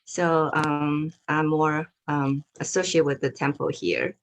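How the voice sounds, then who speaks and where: A woman's voice: deep voice, level tone, slow pace. A woman introducing herself to a class on a video call, hesitant, with many fillers. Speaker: student.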